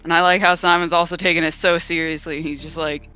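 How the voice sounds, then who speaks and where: An upbeat man with a raised pitch and a strikingly bright, sharp voice talks casually about a game session. His tone is smooth and crisp, he laughs easily, and soft music sits faintly underneath. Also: level tone, fast. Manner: upbeat, laughs often, fillers. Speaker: player. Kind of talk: casual post-game chat.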